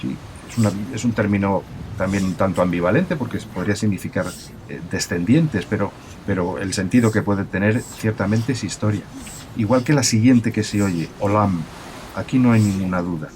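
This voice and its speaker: A man's voice: on the low side, normal speed, darkish tone, a little hiss or hum, close to an everyday voice, low-quality recording. A man playing an amiable professor of ancient languages, explaining in an emphatic, didactic and formal way, with many restarts, as in a recorded interview. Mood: absorbed, emphatic. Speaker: university professor of biblical languages.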